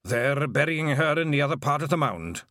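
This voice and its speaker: A grave old pixie bard speaks in a slow Scottish lilt, as a man puts him on. His voice rises and falls with sorrowful weight. The sound is dry and close. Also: on the high side, lively intonation, sharp tone, no room sound, voice pushed up. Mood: solemn. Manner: slow, solemn and lilting.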